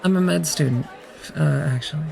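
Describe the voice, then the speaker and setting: A woman's voice: deep voice, a little fast, creaky, darkish tone. An actress playing a reserved, awkward young female student in an audio drama, speaking hesitantly. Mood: shy, friendly. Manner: hesitant, shy, understated.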